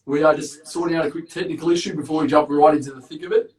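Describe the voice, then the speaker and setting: A man hosting a casual live-stream interview, with a big, loud, chatty voice. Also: a little high, fairly even intonation, racing. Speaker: host. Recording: echoey room, distant mic.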